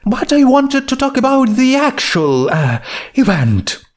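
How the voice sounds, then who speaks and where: A man's voice: very high-pitched, very animated, measured pace, pitched above the performer's own voice, full character voice. A man voicing a dramatic, genteel female pony shopkeeper with a theatrical manner in a story reading. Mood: hesitant, delicate. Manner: theatrical, says "darling". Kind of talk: fan fiction character reading.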